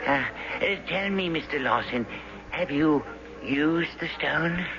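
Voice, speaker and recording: An actor playing a courtly, philosophical gentleman in a radio drama, speaking politely and haltingly in ornate phrases. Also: on the high side, animated. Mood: courteous. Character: courtly, philosophical man with a curious stone. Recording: muffled, hissy vintage radio drama recording.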